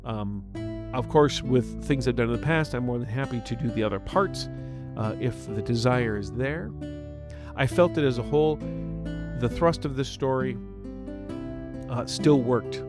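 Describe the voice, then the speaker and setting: A man's voice: mid-range voice, a little slow, pauses often, over music. A man speaking as the host and narrator of a fiction podcast. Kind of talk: scripted story narration and informal author's notes.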